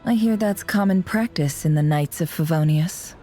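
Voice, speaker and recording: A woman's voice: deep voice, moderate pace, warm tone, close to an everyday voice. An actress playing a cool, discreet spymaster, wry and controlled in her delivery. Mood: sly, teasing. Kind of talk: English game dub acting. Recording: music behind the voice.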